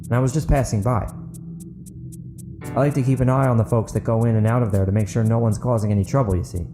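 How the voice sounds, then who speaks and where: A man's voice: medium pitch, steady tone, quick pace, lightly acted. Man acting a blustering, boorish townsman, music behind. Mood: hesitant, defensive. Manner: blustering, yelping when worked up. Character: large, boorish, irate small-town busybody man. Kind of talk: dramatic audiobook character acting.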